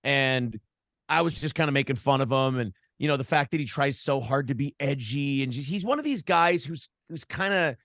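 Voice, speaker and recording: A man's voice: medium pitch, moderate pace, no room sound, studio quality. Man chatting casually, profane and joking. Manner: animated storytelling, profane, joking. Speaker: interview guest, broadcaster. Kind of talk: casual conversational interview.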